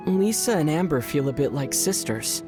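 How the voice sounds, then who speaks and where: A man playing a deadpan young traveler hero. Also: a little high, normal speed, music in the background, close to an everyday voice. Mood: dry. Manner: calm, dry, deadpan replies. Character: young male traveler hero, calm and dry. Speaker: player character. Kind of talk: video game character banter.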